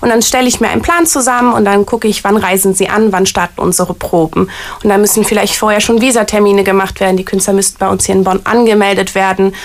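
A woman's voice: medium pitch, fairly even intonation, quick pace. Chatty woman in an interview. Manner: chatty, eager, frequent fillers like "tatsächlich". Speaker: artist liaison at a variety theater. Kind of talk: casual interview answers.

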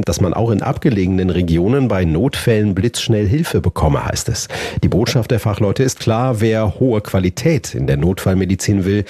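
A man from the news desk giving a calm, scripted report. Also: on the low side, breathy edge, dry recording, clean studio sound. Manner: calm, explanatory.

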